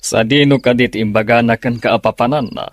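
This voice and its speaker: A man's voice: medium pitch, fairly even intonation, a little fast, natural voice. A man playing a patient but firm middle-aged father in an Ilocano radio drama. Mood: firm reassurance.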